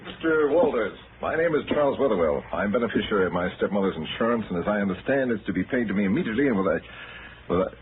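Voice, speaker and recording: A cornered, shifty villain from a sixties radio crime play acted by a man, low in pitch with jumpy inflection. He speaks in halting, flustered repeats. The old broadcast sound is tinny and noisy. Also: animated, close to an everyday voice. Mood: smooth, then uneasy. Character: shifty male heir and poisoner, rattled and cornered. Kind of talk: 1960s American radio crime drama acting.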